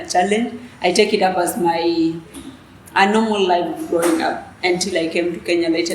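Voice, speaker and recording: Soft-spoken young woman, echoey room. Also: on the low side. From South Sudan. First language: Dinka. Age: young adult. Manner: soft-spoken, hesitant with many restarts. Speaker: student leader guest. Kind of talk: interview answers. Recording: echoey room with faint noise.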